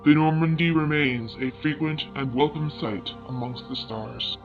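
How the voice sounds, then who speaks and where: Phone-like sound, music behind, man acting as formal alien chronicler, measured, hopeful, declarative, sci-fi audio fiction narration. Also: fairly high pitch, steady tone, close to an everyday voice. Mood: formal, reporting.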